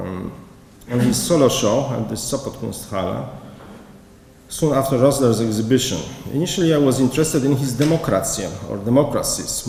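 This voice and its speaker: Curator, male, halting lecture delivery, gravelly low voice, echoey hall with faint noise. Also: dark tone. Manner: halting, hesitant, with many pauses. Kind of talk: conference lecture reading a prepared paper.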